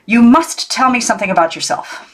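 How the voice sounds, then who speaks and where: A woman's voice: fairly high pitch, lively intonation, a little fast, a little hiss or hum, pitched above the performer's own voice, lightly acted. A woman reading the part of a playful, teasing older great-uncle in a children's storybook, exclamatory and formally polite. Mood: curious, whimsical.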